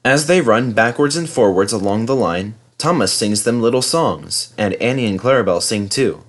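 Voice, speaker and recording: A man's voice: on the low side, animated, moderate pace. A man narrating a children's story as a scripted read-aloud. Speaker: storybook reader.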